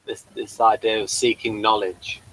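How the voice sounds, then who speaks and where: A man hosting a casual review chat in a mild, understated and somewhat halting way. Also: lowish voice, level tone, fairly bright tone, rough recording. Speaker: host of a review show.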